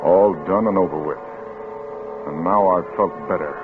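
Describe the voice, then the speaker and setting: An actor playing a grief-stricken, vengeful father who narrates his own crime haltingly in a 1950s radio suspense drama. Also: low-pitched, natural voice. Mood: numb, weary. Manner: halting, haunted delivery swinging into anguished outbursts. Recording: tinny vintage radio recording with music.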